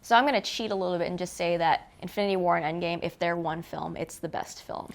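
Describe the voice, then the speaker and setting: A woman co-hosting a film review in casual chat, expressive and playful. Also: lowish voice, fast talker. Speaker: co-host of a film review show.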